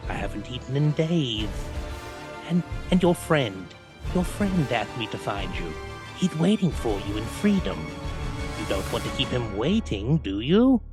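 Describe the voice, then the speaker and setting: A man acts out a sly, predatory snake for an audio drama. He coaxes slowly with false warmth and menace, his pitch sliding widely over prominent music. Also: sing-song. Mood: coaxing, sly. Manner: slow, coaxing, falsely friendly. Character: hungry, sly, menacing snake stalking a mouse. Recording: loud music under the voice.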